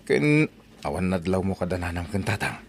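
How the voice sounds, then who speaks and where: A grumbling old Ilocano dad in an audio comedy played by a man. His lowish voice leaps around in pitch as he teases and gripes. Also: very animated, normal speed. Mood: teasing. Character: grumbling, teasing, thrifty elderly father. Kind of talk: comedy audio drama acting.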